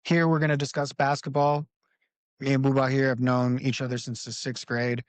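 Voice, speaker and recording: A man hosting a casual basketball podcast, talking in a relaxed, conversational way and leading the discussion. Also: medium pitch, moderate pace, no room sound. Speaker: podcast host. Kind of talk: casual sports podcast talk. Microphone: home recording setup.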